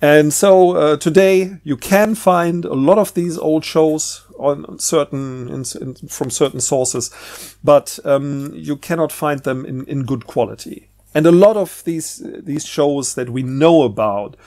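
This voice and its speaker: A man giving a casual, unscripted vlog monologue as he presents a book, rambling and halting, often trailing off and rephrasing. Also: on the high side, a little mellow.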